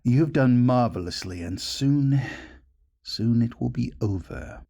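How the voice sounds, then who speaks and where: A man playing a suave, conniving gentleman groom in an audio drama, speaking in a measured, soothing and seductive way. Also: medium pitch, lively intonation, creaky. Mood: placating. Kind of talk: dramatic audio roleplay acting.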